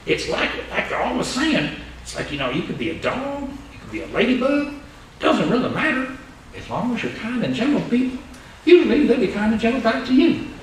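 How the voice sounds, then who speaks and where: A cheeky little rascal dog, voiced by a man working a puppet, chatters in a high, sing-song voice that leaps all over. It teases and rambles with easy filler words. The sound is echoey and heard from a distance. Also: big pitch swings, a little fast, slight background noise. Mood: folksy. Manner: chatty, teasing, rambling with "you know". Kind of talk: puppet skit for children. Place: temple hall. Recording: echoey hall, distant mic.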